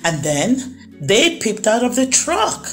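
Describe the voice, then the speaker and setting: Woman reading a kids book aloud, super slow and really expressive, soft music behind. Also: fairly high pitch. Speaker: storyteller. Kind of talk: expressive children's read-aloud narration.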